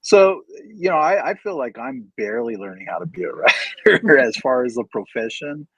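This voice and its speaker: A man's voice: mid-range voice, lively intonation, moderate pace, slightly rough, no room sound, rough recording. A man writer in casual livestream chat, hesitant and stumbling, with many fillers, on a video call.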